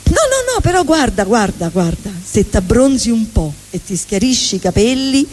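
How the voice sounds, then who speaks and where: A woman's voice: on the high side, sing-song, moderate pace, a little mellow, faint music behind. A woman putting on her older mother, a nagging mum who hands out backhanded compliments. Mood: fussing.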